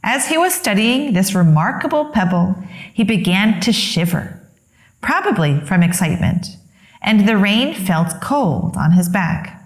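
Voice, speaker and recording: A woman reading a children's story aloud as expressive narration, with deliberate, dramatic phrasing. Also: mid-range voice, roomy sound. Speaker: children's story reader, host.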